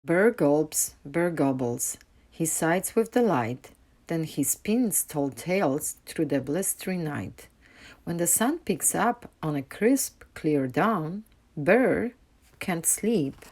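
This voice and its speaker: A woman's voice: sits low, lively intonation, slow, a little mellow. A woman reading a children's picture book aloud as a storyteller, with dramatic, rhythmic delivery.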